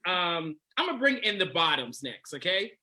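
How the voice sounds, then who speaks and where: A man's voice: sits high, big pitch swings, normal speed, sharp tone. A man hosting a casual dating chat on a video call, playful and laughing often. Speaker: dating coach host.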